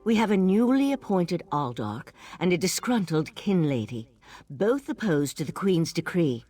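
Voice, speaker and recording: Casting: a sly, inquisitive female game investigator, measured and wry, lively intonation over music. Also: lowish voice. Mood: curious, conspiratorial. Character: shrewd, secretive investigator, calm and curious. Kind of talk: video game character dialogue.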